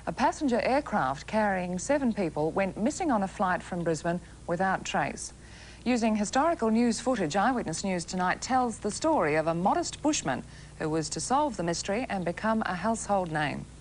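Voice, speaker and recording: A woman's voice: medium pitch, expressive, moderate pace, slight background noise. A presenter, a woman with an Australian accent, reading a scripted television news introduction.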